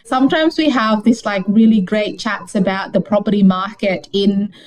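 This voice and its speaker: A woman's voice: mid-range voice, steady tone, moderate pace, darkish tone, rough recording. A woman from Indonesia chatting casually and explaining things as an economist guest in an interview. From Jakarta, Indonesia.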